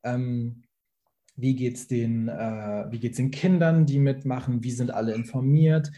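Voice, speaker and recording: Young guy on a video call, really dark mellow voice, lots of ums and restarts. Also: mid-range voice, steady tone, measured pace. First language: Farsi. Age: young adult. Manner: hesitant, many fillers and restarts. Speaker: actor, invited guest. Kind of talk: online panel talk.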